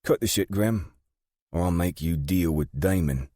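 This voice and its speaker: A man voicing a tough, foul-mouthed gang matriarch and grandmother with a British accent, blunt and sharp. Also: low voice, a little slow, dry recording, clean studio sound, voice pushed up. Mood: impatient, sharp.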